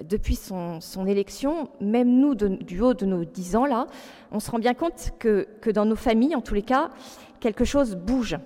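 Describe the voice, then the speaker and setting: A woman's voice: medium pitch, warm tone. A woman in her forties giving a public personal testimony in a hall, earnest, moving between read text and spontaneous asides. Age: 40s. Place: large hall with an audience. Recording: long hall reverb.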